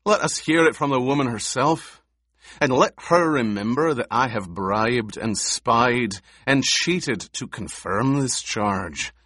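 A man's voice: mid-range voice, takes it slowly, a bit bright, no echo, studio quality, pitched above the performer's own voice. A man reading an audiobook, voicing a furious, loyal warrior who snarls threats while holding a hostage. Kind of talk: audiobook character voice.

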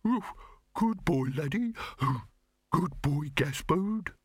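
A man voicing a simple, eager, good-natured creature in a fantasy audiobook, excitable and doting. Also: fairly high pitch, slow pace, husky, no echo, studio quality, pitched above the performer's own voice, full character voice. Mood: excited.

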